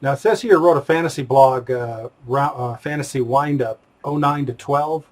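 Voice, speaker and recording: A man's voice: mid-range voice, normal speed, darkish tone. An American man hosting a casual interview, talking in a tentative, digressive way. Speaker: interview host.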